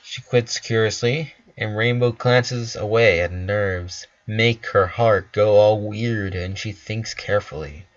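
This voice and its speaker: Slow, low-voiced male story narrator. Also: dull audio.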